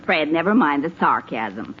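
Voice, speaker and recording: A woman's voice: sits low, very animated, moderate pace, a little hiss or hum. A woman playing a dry, no-nonsense middle-aged wife and mother in an old radio comedy. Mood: exasperated. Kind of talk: 1940s radio comedy acting. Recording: dull old radio recording.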